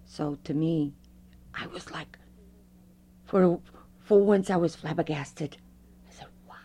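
Low-voiced woman Fado singer, lively storyteller. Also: slow, slightly rough. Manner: animated storyteller, strong loud voice. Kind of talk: oral history interview.

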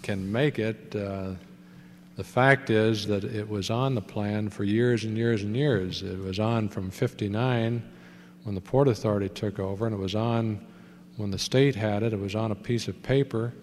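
A man, an airport official, answering questions at a public hearing in a measured, hesitant way. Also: lowish voice, fairly even intonation, darkish tone. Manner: measured, hesitant, with fillers and restarts. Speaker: port authority official. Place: large meeting hall. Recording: echoey hall with faint hum.

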